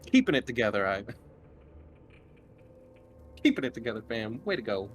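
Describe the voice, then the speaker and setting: A man's voice: mid-range voice, big pitch swings, normal speed, slight background noise. A man running a tabletop horror game as game master, casually improvising scene descriptions in a conversational way.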